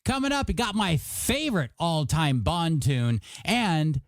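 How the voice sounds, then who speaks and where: A man's voice: fairly high pitch, big pitch swings, unhurried, no room sound, studio quality. A man working as a radio DJ on a classic hits show, with jokey, punchy announcer patter over music. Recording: radio aircheck with music underneath.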